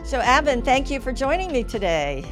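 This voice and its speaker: A woman's voice: high-pitched, very animated, moderate pace. Polished woman host over music. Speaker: podcast host. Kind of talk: scripted introduction, then interview chat. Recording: music clearly behind the voice.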